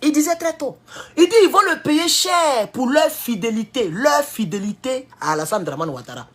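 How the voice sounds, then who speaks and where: A man with an Ivorian French accent giving a heated political commentary, jumping from loud high outbursts to low mutters. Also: lively intonation, moderate pace. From Ivory Coast. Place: at home in California.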